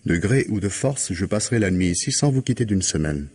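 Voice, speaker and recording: An implacable police investigator in a vintage French radio mystery. The voice is low and husky, and he speaks with deliberate, articulate authority, giving orders like someone who will not be moved. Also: expressive, darkish tone. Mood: stern, menacing. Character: stern, implacable male police investigator confronting a suspect.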